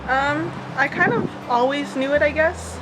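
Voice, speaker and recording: A woman's voice: sits high, unhurried, noisy background. A nineteen-year-old woman answering interview questions in a chatty, loose way with fillers. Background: background music.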